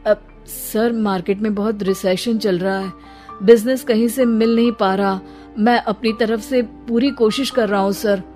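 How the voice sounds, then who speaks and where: A woman's voice: mid-range voice, fairly even intonation, a little slow, a little mellow, soft background music, lightly acted. A woman voicing an anxious, apologetic young male office employee in a cartoon, hesitant and deferential. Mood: anxious, pleading.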